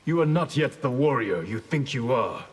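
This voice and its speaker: An actor playing a stern, dignified ninja mentor in a video game, grave and admonishing. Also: male voice, mid-range voice, animated, normal speed, a little hiss or hum, lightly acted. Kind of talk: video game fight banter. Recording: reverberant game audio.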